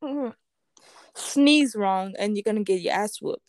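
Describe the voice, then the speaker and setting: A woman co-hosting a casual chat, telling blunt, animated stories. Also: mid-range voice, unhurried, no echo. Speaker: podcast co-host.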